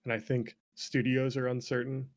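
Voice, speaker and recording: A man speaking as a game developer guest in a casual conversation, candid and animated, and quick to laugh. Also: medium pitch.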